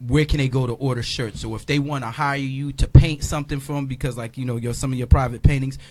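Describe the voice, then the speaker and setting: A man's voice: mid-range voice, steady tone, fast talker, darkish tone, no echo. A man hosting a casual radio interview, who repeats his words and urges listeners on in slangy talk. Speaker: radio show host.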